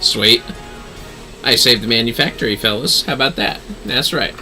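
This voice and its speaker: A man's voice: normal speed, bright, forceful tone, soft background music, low-quality recording. A man giving casual, offhand livestream commentary on a game he is playing, muttering as he goes. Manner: low-key, offhand muttering. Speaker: game streamer.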